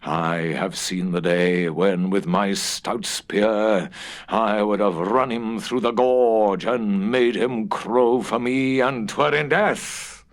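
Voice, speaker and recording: A man voicing a proud, withered, vengeful old ghost in a storybook reading, with grandiose, drawn-out menace. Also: fairly low pitch. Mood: bitter menace.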